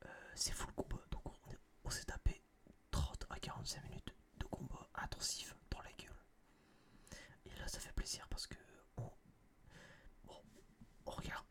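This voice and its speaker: A young man whispering a casual, opinionated ASMR review in a breathy voice, rambling and slangy, close to a binaural microphone. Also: medium pitch. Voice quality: breathy, mostly unvoiced whisper. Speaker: host of an ASMR film review video.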